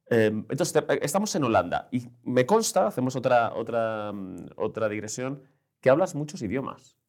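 A man's voice: mid-range voice, animated, normal speed, a little mellow. A man interviewing a writer, asking his questions tentatively with frequent tag questions.

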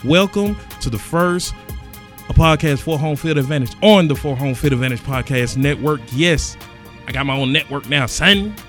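A man hosts a sports podcast in a slightly high-pitched voice. He talks casually and emphatically, full of slang and animation, with music behind him. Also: lively intonation, normal speed, fairly bright tone.

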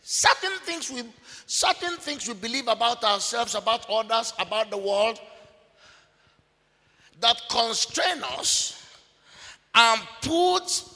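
A man preaching a sermon, emphatic and repetitive, at times breaking into shouts. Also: very high-pitched, lively intonation, slow pace, long pauses. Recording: long reverb of a large hall.

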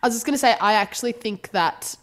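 A woman's voice: a little high, fairly bright tone. A young woman co-hosting a podcast, chatting casually with a sore throat and dropping the odd swear. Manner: chatty, swearing, quick asides. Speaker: podcast co-host. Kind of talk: casual chat.

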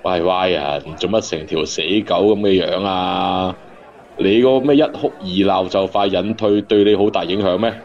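An actor playing a brash, street-wise bartender in a Cantonese radio drama, with a Hong Kong accent. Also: male voice, deep voice, moderate pace, darkish tone, dull audio. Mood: brash, ribbing. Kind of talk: radio drama acting, bar banter.

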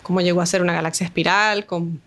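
A woman's voice: fairly low pitch, fairly even intonation, quick pace, a bit bright. A woman from Venezuela with a Venezuelan Spanish accent, a guest astronomer explaining things patiently, step by step, in an interview.

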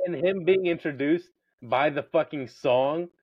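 A man's voice: a little high, unhurried, breathy edge, no echo. Male co-host, blunt and insistent, dry and slightly muffled recording. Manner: blunt, emphatic, repeats himself. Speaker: podcast co-host. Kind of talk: casual movie-review banter.

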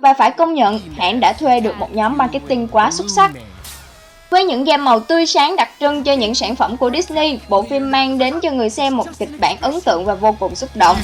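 A woman reading scripted narration as the host of a countdown video. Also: on the high side. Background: background music.

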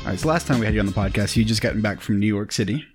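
A man's voice: on the low side, very fast, loud music behind the voice. A man hosting a casual podcast, teasing his guest and swearing freely. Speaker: podcast host.

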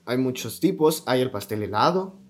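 A man's voice: medium pitch, lively intonation, moderate pace. A young man with a Mexican accent co-hosting a casual chat, telling stories with slangy animation. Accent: Mexican Spanish. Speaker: podcast co-host.

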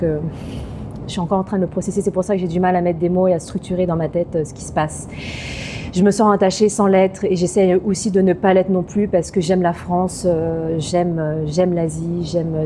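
A woman's voice: lowish voice, little pitch movement, moderate pace, background noise. A woman co-hosting a casual podcast conversation, hesitant and searching for her words. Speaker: podcast co-host.

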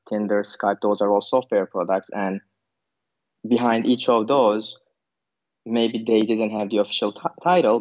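A man from Turkey with a low voice answers interview questions in a loose, searching way, full of fillers and restarts. The recording is dull and lo-fi. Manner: thinks aloud with many fillers and restarts. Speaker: podcast guest, product manager. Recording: dull lo-fi sound.

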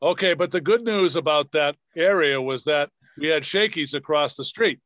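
A man's voice: fairly high pitch, moderate pace, fairly bright tone. A man hosting a podcast, talking conversationally with his guests. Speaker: podcast host, former radio play-by-play announcer.

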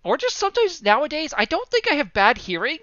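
A man's voice: very high-pitched, huge pitch swings, quick pace, sharp tone, slight background noise. A man in his thirties co-hosting a podcast, rambling casually about films with many ums, self-deprecating asides and swearing. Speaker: podcast co-host. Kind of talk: casual movie review chat. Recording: dry, dull lo-fi sound.

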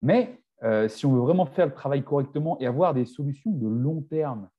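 A man's voice: mid-range voice, animated, moderate pace, dull audio. A man in his forties, a fitness coach, speaking as a guest in a casual podcast chat, often restarting his sentences. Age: 40s. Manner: hesitant, many restarts and fillers.

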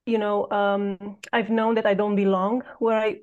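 A woman in her late thirties from Romania, a podcast guest telling her personal story in a halting, reflective way with many pauses. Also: medium pitch, level tone, a little mellow, clean tone, muffled recording.

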